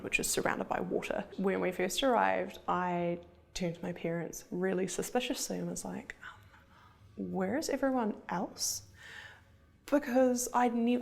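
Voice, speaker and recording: A woman's voice: mid-range voice, gravelly, darkish tone. A young woman from Zimbabwe, earnest and reflective, speaking in a documentary interview.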